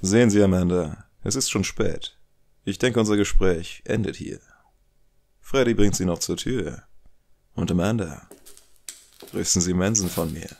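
A man playing a very low, gravelly mafia don. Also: unhurried, pauses often, heavily acted. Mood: condescending. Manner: smooth, condescending, quietly threatening. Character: suave, menacing older Italian crime boss. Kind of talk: German audio drama acting.

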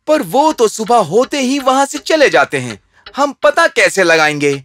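Cartoon shoemaker: good-hearted middle-aged man, bright forceful tone, lively intonation, faint music behind. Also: mid-range voice. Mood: agreeable, thoughtful. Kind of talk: children's cartoon dub.

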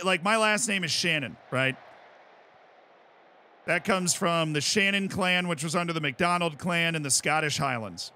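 An American man streaming casually while he plays, explaining things in an animated, rambling way and often checking in with "right?". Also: fairly high pitch, a little hiss or hum. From United States. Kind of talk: casual chat while playing.

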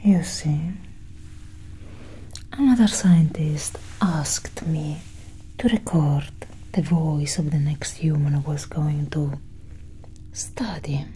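A young woman whispering breathily and deliberately in a soft ASMR roleplay as a calm alien scientist, close to the mic. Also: rough recording. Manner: slow, deliberate, hushed. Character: calm, clinical female alien scientist examining a human. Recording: steady low engine-like hum.

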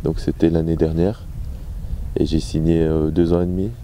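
Very dark, low-voiced young man. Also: level tone, moderate pace, slight background noise. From Belgium. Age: 20s. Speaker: footballer being interviewed. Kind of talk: casual interview answers. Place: open-air football pitch. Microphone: handheld microphone.

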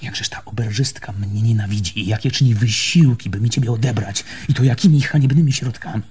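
An actor playing a stubborn, defensive man in a radio play, arguing haltingly and insistently with his lover in a husky voice. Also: lowish voice, darkish tone. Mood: indignant. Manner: halting, insistent, repeats phrases. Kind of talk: Polish radio play acting.

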